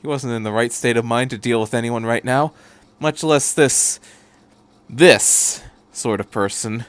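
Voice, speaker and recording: A man's voice: medium pitch, fairly even intonation, unhurried, no room sound. A man hosting a podcast and reading fan fiction aloud as scripted narration.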